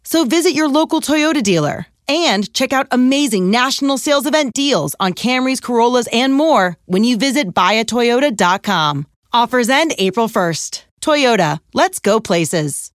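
A woman's voice: a little high, expressive, moderate pace, hardly any pauses, dry recording, clean studio sound. A woman announcer giving an upbeat, polished commercial read. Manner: upbeat, polished sales delivery. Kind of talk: scripted car commercial read.

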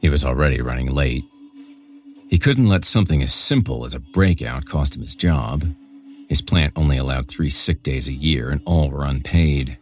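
A man's voice: rumbling low, normal speed. A man reading a scripted horror story as a podcast narrator, with music behind him.

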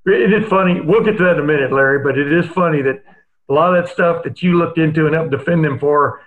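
A man's voice: on the high side, fast talker. A man hosting a podcast, chatting casually with his guest and laughing easily. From USA, Alabama. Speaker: podcast host. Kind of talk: casual interview chat. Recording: tinny phone-like line.

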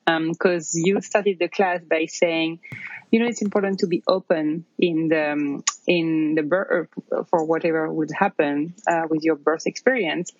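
A woman in her thirties from France with a French accent, telling her story in a casual interview, halting with many fillers. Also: on the low side, a little mellow, no echo, dull audio. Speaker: interview guest.

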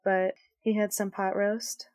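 A woman's voice: medium pitch, little pitch movement, a little mellow, no echo. A chatty woman co-host with a mid-range voice tells light stories and laughs easily. She sounds close and dry on a podcast mic. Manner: chatty and giggly, quick reactions. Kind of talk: casual podcast chat.

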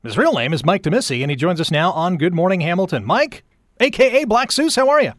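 A man's voice: sits high, very animated, fast talker, a bit bright, dry recording, clean studio sound. A man hosting a morning radio show in an upbeat broadcast interviewing style. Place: radio studio.

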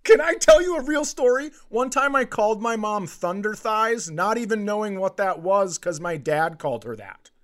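A man with a voice pitched a little above average talks casually as a comedian hosting a podcast. His delivery is exasperated and sarcastic, moving between reading things out and ranting about them. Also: expressive, moderate pace, few pauses, a bit bright. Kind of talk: casual comedic chat with read-out bits.

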